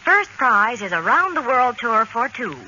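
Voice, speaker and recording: A woman's voice: fairly high pitch, sing-song, a little slow, bright, forceful tone. A woman reading a scripted old-time radio advertisement as an announcer. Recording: dull, noisy old radio broadcast.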